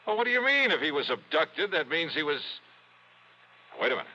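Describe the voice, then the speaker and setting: Dull noisy old radio recording, exasperated American private investigator, punchy, wry, lively pitch swings. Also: male voice, brisk, full character voice. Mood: incredulous, exasperated. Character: brash, exasperated, wisecracking male private insurance investigator. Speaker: lead actor and narrator. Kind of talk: 1950s-60s radio detective drama acting.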